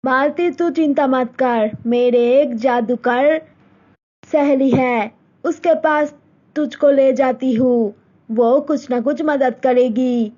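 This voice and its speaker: A caring but anxious mother of the bride in a storybook cartoon, voiced by a woman. Her voice is high and mellow, and she speaks slowly. The recording is dull and lo-fi. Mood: soothing. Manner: anxious, soothing.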